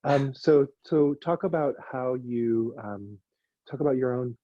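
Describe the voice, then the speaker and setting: Forties male host, dark breathy tone, dry lo-fi sound, thoughtful questions. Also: medium pitch, unhurried. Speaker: host, interviewer. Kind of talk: casual interview.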